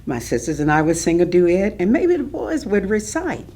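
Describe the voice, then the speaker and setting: A woman's voice: on the low side, big pitch swings, moderate pace, mellow. A woman playing a poised grandmother in a staged reading, recalling family life in a composed, articulate manner. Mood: fond, composed. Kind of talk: staged reading of oral history.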